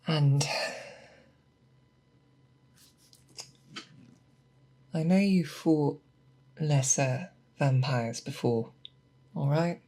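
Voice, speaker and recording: A performer playing a seasoned monster hunter who patiently instructs an apprentice in a scripted ASMR roleplay, with hesitant, measured check-ins. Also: medium pitch, mellow. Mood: grave, measured.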